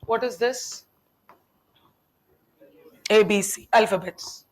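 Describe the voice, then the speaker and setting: A woman's voice: medium pitch, slow pace, darkish tone, poor audio. A young adult woman whose first language is Tamil, teaching a classroom lecture. She keeps firing questions at her students and teases them in a chatty way.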